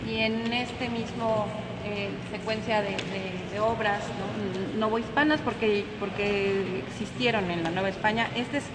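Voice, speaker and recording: A woman with a Mexican Spanish accent and a mid-range voice talks through the artworks, stopping to rephrase and adding little tag questions. The sound is roomy, with a long reverb tail and loud steady noise behind her. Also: mid-range voice, measured pace, hardly any pauses. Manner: thoughtful, hesitant, ends phrases with tag questions. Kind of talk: gallery talk. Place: large echoing museum hall. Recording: echoey hall, loud background noise.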